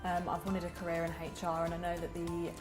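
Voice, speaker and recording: A young woman from Birmingham speaks in a lowish voice, conversational and easy as she answers interview questions as a graduate trainee. The echoey room carries clear background music. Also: completely flat delivery, fast talker. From Birmingham, England. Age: young adult. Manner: conversational, using "like" as a filler. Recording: roomy sound with music behind.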